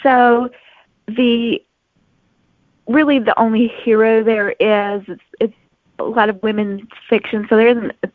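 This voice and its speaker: A woman's voice: a little high, steady tone, a little slow, pauses often, no room sound. A woman author giving explanatory answers as an interview guest. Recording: narrow phone-like sound, very dry.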